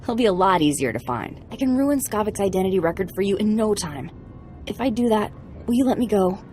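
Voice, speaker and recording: An actress playing a sardonic, defiant captive hacker in a video game, talking in a dry, sarcastic way. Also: female voice, mid-range voice, animated, brisk, dark tone, soft background music, close to an everyday voice. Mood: eager bargaining. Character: sardonic enslaved female hacker, defiant and bargaining. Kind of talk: video game dialogue acting.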